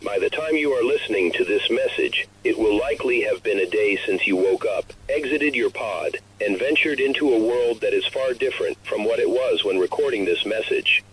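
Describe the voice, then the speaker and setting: A man playing a grave narrator of a recorded message to the last human, speaking in a solemn, measured, formal way for an analog horror story. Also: fairly low pitch, fairly bright tone, a little hiss or hum, close to an everyday voice, rough recording.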